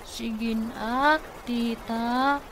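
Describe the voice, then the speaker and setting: Pleading Ilocano girl, slow. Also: on the high side, fairly even intonation. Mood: coaxing. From Philippines. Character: pleading, curious young girl, a niece. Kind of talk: radio comedy drama acting. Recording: clearly noisy background ambience.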